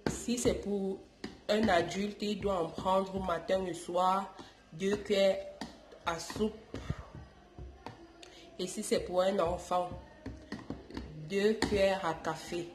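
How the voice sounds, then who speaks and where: A woman's voice: medium pitch, steady tone, takes it slowly, pauses often, noisy background. Woman with a head cold, echoey room. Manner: affectionate, calls viewers darlings, repeats and restarts. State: stuffy from a cold. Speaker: YouTube channel host. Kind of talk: home remedy tutorial. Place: home, indoors.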